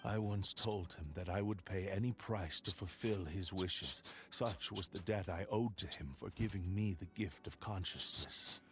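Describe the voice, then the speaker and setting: A man voicing the remorseful spirit of a sentient cursed sword in a video game, speaking in a grave, measured, sorrowful way that turns whispery at times. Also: sits low. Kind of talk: game voice acting. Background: game music.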